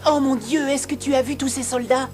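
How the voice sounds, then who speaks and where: A woman voicing a lively, eager cartoon companion who speaks politely. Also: high-pitched, a little fast, natural voice. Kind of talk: French cartoon dub. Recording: with cartoon music.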